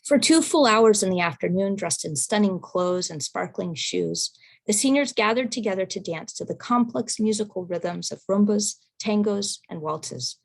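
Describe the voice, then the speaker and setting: A woman presenter reading a prepared research talk in a measured, careful way over a video call. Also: mid-range voice, expressive, gravelly. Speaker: academic presenter.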